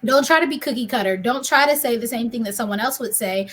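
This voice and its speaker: A woman's voice: fairly high pitch, quick pace, a bit bright. A woman hosting a podcast interview, chatty and encouraging, with frequent fillers. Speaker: podcast host.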